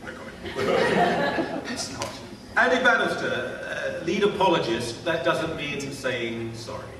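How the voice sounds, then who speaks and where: A man's voice: fairly high pitch, lively intonation, slow pace, crowd noise. A man in his fifties hosting a panel, introducing guests with wry jokes and many fillers. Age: 50s. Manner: wry, self-deprecating, hesitant with fillers. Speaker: panel host. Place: large lecture hall. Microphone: table mic, distant camera. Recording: echoey hall from far off, noisy.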